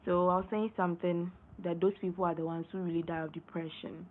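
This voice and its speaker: A young woman hosting a casual late-night live stream, hoarse and losing her voice, rambling and repeating herself as she clears her throat. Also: on the low side, level tone, quick pace. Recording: tinny phone-line sound, faint noise.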